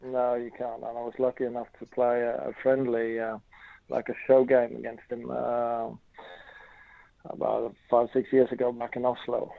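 A middle-aged man from Norway with a Norwegian accent, a former footballer answering interview questions in a halting way with many fillers. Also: medium pitch, monotone, gravelly, no echo. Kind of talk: casual interview. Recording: tinny phone line.

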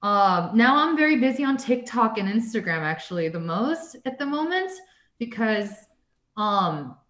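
A woman's voice: on the high side, sing-song, measured pace, a bit bright. Young american woman just chatting, lots of likes and ums, bit of room echo. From United States. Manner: rambling, lots of "like" and "um" fillers, laughs often. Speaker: guest artist. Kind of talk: casual interview chat. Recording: echoey room.

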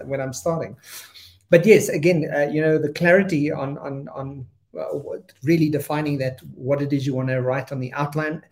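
A man's voice: on the high side, darkish tone. A man hosting an interview, rambling and enthusiastic, with a frequent "you know". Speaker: host. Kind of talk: conversational interview.

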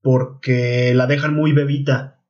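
A young man with a Mexican Spanish accent, co-hosting a podcast in casual chat, animated and slangy, often restarting his sentences. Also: medium pitch, slow pace. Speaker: podcast co-host. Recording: dull lo-fi podcast audio.

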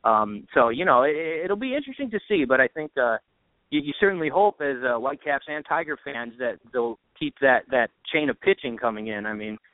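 A man's voice: mid-range voice, expressive, fairly quick, no room sound. A man on a tinny phone-like line, a sports announcer giving casual analysis as a podcast guest.

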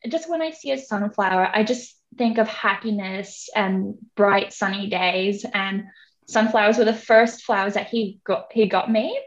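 A woman's voice: medium pitch, measured pace, lo-fi sound. Giggly young woman with Hong Kong accent. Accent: Hong Kong English. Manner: giggly, chatty, lots of "like". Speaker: podcast guest. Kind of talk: casual interview chat.

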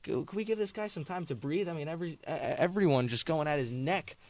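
A man's voice: on the high side, quick pace, darkish tone, dry recording. A young man co-hosting a casual sports talk show, a Mets fan who argues his points emphatically.

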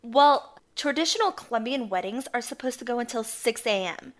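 A woman in her twenties co-hosting a podcast, a bit hoarse from losing her voice, telling stories in a chatty way and laughing often. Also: a little high, lively intonation, moderate pace, a bit bright. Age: 20s. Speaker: podcast co-host. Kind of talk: casual chat.

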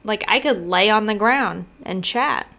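A woman's voice: medium pitch, a little slow, vocal fry, sharp tone. A woman co-hosting a podcast, chatting and giggling, sharing one microphone with her co-host. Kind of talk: casual chat.

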